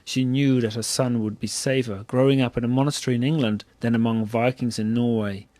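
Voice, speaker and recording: A man's voice: mid-range voice, fairly even intonation, brisk, a little mellow, no echo. A man narrating a scripted story as a storyteller.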